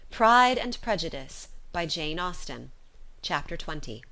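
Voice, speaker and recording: A woman reading literary narration as an audiobook, measured and articulate. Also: fairly low pitch, sing-song, sharp tone. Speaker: audiobook reader.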